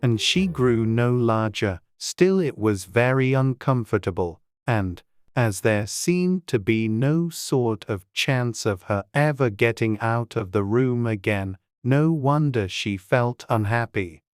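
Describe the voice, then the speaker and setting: A man narrating a storybook audiobook in a gentle, measured, good-humoured way. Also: medium pitch, lively intonation, clear, resonant tone, no room sound, studio quality.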